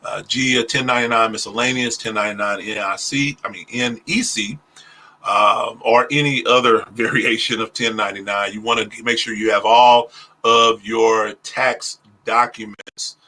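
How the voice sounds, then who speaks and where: A man who is a pastor and a tax preparer, giving a casual livestream talk on taxes. He speaks slowly and deliberately, with many "uh" fillers. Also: on the low side, steady tone, bright, forceful tone, low-quality recording. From Oklahoma, US. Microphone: phone.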